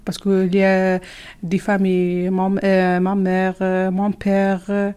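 A young woman from Algeria, still learning the language, answers questions at a very slow pace. She speaks in short, simple phrases and pauses often to search for words. Her voice is mellow and soft-edged. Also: mid-range voice, completely flat delivery, clear, resonant tone. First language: not French. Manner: halting, simple French, many hesitations. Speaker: interviewee, French learner. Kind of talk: hesitant interview answers.

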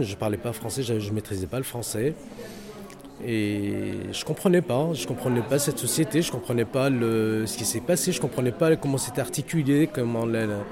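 A man with a North African accent, head of a security training center, giving an earnest, motivational interview full of hesitations and restarts. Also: medium pitch. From Algeria. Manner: hesitant, many fillers and restarts. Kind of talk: interview testimony. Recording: noisy crowd around the speaker. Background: crowd chatter.

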